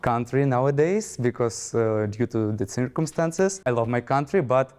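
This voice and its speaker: A man's voice: medium pitch, expressive, normal speed, a little mellow, clear, resonant tone. A man from Ukraine, talking reflectively and a little hesitantly in a group discussion. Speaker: panel guest.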